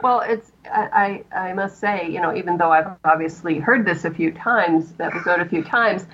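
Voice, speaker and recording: A woman speaking casually as an interview guest, choosing her words in a measured, reflective way. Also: lowish voice, a bit bright. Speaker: audio drama creator, podcast guest. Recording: dull lo-fi connection.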